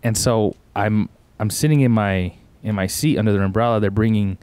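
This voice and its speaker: Young man, somewhat low voice, laid-back rambling storyteller, podcast co-host. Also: brisk, darkish tone, clean tone, no room sound. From Tucson, Arizona. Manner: rambling, laid-back, says "bro" often. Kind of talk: casual storytelling chat.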